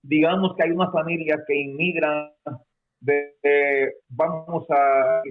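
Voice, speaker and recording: A man from peru talks as a guest over a narrow, tinny line, a bit high for a man, full of fillers and halting restarts. he speaks in a hesitant way. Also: fairly even intonation, soft background music. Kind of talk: conversational explanation. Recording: phone-like narrow sound.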